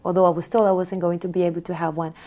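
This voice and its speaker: A woman in her forties from Honduras, answering interview questions in a soft, reflective way with small repeats. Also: lowish voice, flat delivery, racing. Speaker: guest.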